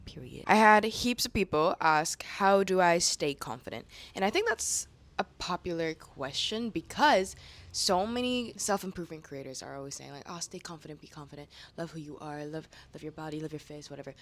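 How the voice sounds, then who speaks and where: A woman vlogger with a slightly raspy voice, chatting candidly to camera in slangy, casual speech. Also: deep voice, normal speed. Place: room indoors.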